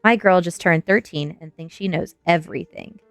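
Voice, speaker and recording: A woman's voice: on the low side, normal speed, dry recording, soft background music, lightly acted. A woman voicing a gossipy, prying female travel agent in an audiobook, chatty and a little flirtatious. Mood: curious, chatty.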